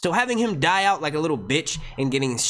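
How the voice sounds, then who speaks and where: A man hosting a casual live Q&A stream, talking excitably and swearing freely into a gated streaming mic. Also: on the high side, fast talker. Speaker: livestream host. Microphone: streaming mic with noise gate.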